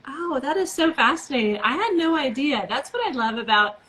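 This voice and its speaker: A woman's voice: sits high, lively intonation, brisk, pushed and bright, rough recording. A woman hosting a casual live video interview, talking with gushing enthusiasm. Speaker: host.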